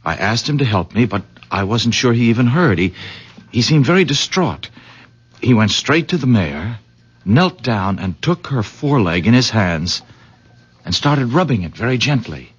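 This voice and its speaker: An actor playing a polite, excitable village horse owner in a radio drama, husky and halting in his courteous, earnest talk. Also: mid-range voice. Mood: awed, earnest. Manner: hesitant, halting, courteous, effusive. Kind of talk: 1970s radio drama acting. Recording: dull old radio recording.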